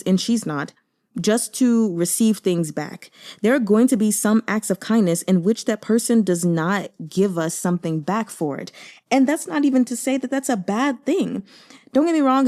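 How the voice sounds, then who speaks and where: A woman with a mid-pitched voice gives casual book commentary. She talks informally, with slangy phrasing and an opinionated, emphatic delivery, like a host chatting directly to fellow readers. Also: warm tone. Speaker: book reviewer hosting a reading discussion.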